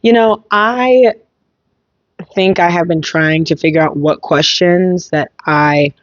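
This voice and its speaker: A young woman hosting a casual solo podcast, thinking aloud in a reflective way, her voice slightly hoarse. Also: fairly low pitch, takes it slowly, no room sound, lo-fi sound. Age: young adult. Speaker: podcast host.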